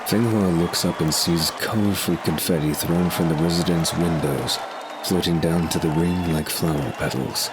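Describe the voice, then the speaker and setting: Very deep male story narrator. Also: normal speed, music in the background, rough recording.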